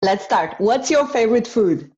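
A woman's voice: fairly high pitch, lively intonation, moderate pace, darkish tone, highs cut off. A woman hosting a podcast interview, upbeat and enthusiastic. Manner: enthusiastic, laughs often. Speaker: podcast host. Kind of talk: casual interview chat.

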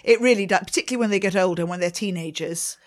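A middle-aged woman with a Swedish accent answers questions about her work as a ballet teacher. Her voice sits a little low, and she talks in a chatty way, laughing often. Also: very fast. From Sweden. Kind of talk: interview answers.

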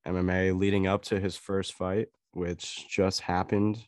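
A young man hosting a podcast, sounding congested from a cold, chatty and relaxed, laughing often. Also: low voice, a little slow, no room sound, studio quality. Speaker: podcast host. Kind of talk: casual podcast chat.

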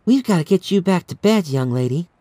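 A man voicing a frightened, protective mother in a hushed, tense manner for a horror audiobook. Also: high-pitched, lively intonation, brisk, voice pushed up. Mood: gentle. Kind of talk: audiobook character voice.

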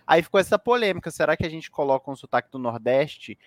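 A man hosting a casual podcast, his voice sitting a little high for a man. The sound is close and dry, with hardly any room around it. He talks loosely, in a slangy, easygoing way. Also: quick pace, no room sound. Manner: relaxed, slangy, with loose restarts. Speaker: podcast host.

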